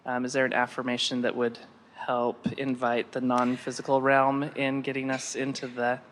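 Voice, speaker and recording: A man asking a speaker a spontaneous question as an audience member, in a hesitant, halting way. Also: mid-range voice, completely flat delivery, a bit bright, a little hiss or hum, rough recording.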